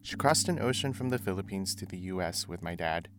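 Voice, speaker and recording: A man from the United States hosting a radio show, narrating in a reflective, measured way and interviewing a guest. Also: sits low, expressive. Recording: music bed under the voice.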